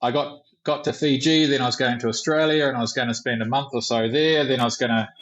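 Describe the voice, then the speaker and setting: A man's voice: medium pitch, fast talker, highs cut off. A middle-aged man with a New Zealand accent, a guest talking casually and a bit rambly. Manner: relaxed, rambling, with fillers. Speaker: guest, tour company founder. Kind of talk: casual interview.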